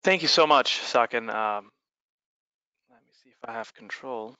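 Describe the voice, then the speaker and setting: Bright-voiced man presenting a webinar. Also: medium pitch, normal speed, smooth, no room sound, muffled recording. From Egypt. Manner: earnest, many fillers. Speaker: startup founder presenting.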